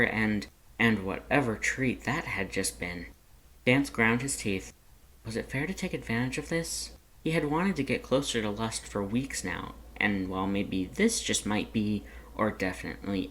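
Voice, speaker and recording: A narrator reading scripted fan fiction aloud in an expressive, dramatic way. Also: mid-range voice, a little hiss or hum, rough recording.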